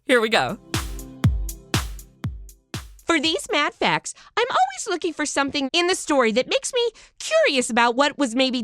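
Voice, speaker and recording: An American woman narrates a history segment as a podcast host. Her voice is fairly high and very lively, swinging freely in pitch. She tells it conversationally with a wry touch, in a dry, close recording space. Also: no room sound. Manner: conversational storyteller, wry asides, rhetorical questions. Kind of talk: scripted informal history narration.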